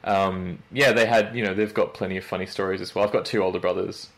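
A man's voice: low-pitched, steady tone, fast talker, a bit bright. A young man born in London and raised in Australia, with an Australian accent with a slight British tinge, chatting casually as a guest, laughing often and hesitating with fillers. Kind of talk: casual interview chat.

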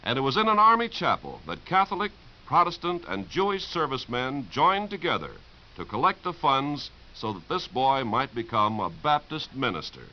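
A man's voice: mid-range voice, sing-song, normal speed. A man reading scripted 1950s radio narration as an announcer, in an earnest, formal manner. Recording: dull old radio recording.

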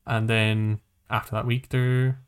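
A man's voice: medium pitch, level tone, a little slow, clear, resonant tone. Male podcast co-host, mid-range voice, loose conversational delivery that circles and rephrases as it goes. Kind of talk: casual podcast chat.

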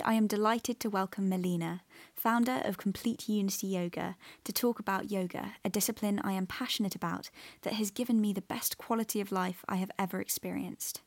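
A woman's voice: medium pitch, a little mellow, dry recording. A woman hosting a podcast, moving between a scripted introduction and interview talk. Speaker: podcast host.

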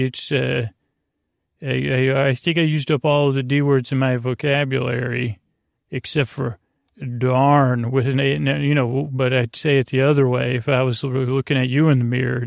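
A man with a gravelly, creaky voice talks very close and dry, as if hosting a bedtime show. His delivery is halting and meandering, drifting through mumbly, whimsical tangents meant to send listeners to sleep. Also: medium pitch, no room sound. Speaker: podcast host.

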